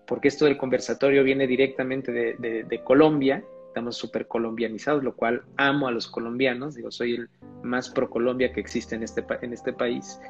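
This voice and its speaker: A man's voice: medium pitch, level tone, brisk, lo-fi sound, soft background music. A man as guest in an online conversation, talking enthusiastically and repeating his phrases. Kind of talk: casual live-stream conversation.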